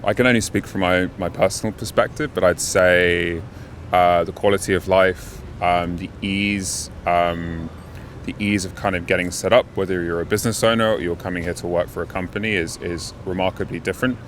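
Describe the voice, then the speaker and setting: A man from the United Kingdom, interviewed outdoors, answering in a measured way with frequent pauses and restarts. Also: low-pitched, steady tone, a little hiss or hum. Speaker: interviewee, expat. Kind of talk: thoughtful casual answers. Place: outdoors on a city street.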